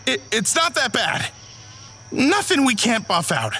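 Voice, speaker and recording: Very bright, high-pitched young frat jokester played by an actor. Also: male voice, very animated, measured pace, faint music behind, heavily acted. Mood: sheepish. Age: young adult. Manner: flippant, joking. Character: wisecracking young fraternity jokester, an aspiring bad comedian. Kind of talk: audio drama acting.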